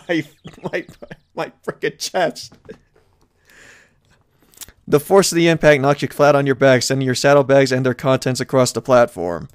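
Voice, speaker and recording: A man's voice: mid-range voice, clean tone, no echo, clean studio sound. A man playing and reading a visual novel aloud as a let's play host, with playful, mock-dramatic reactions.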